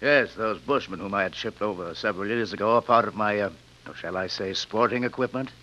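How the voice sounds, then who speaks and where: An actor playing a sinister, courteous rich villain in old radio drama, speaking quietly and formally without hurry. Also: male voice, fairly low pitch, brisk. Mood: gloating threat. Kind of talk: 1940s-50s radio drama acting. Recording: dull old radio recording.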